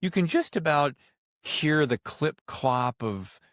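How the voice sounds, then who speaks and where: A man's voice: fairly high pitch, expressive, slow pace, a bit bright, dry recording, clean studio sound. A man hosting a podcast interview in a casual, informal and enthusiastic way. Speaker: podcast host.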